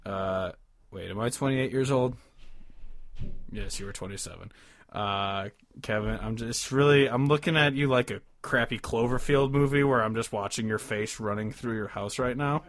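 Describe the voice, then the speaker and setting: A man's voice: mid-range voice, moderate pace, a bit bright. A man in his twenties chatting casually about music as a podcast co-host, rambling and informal, swearing now and then. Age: 20s.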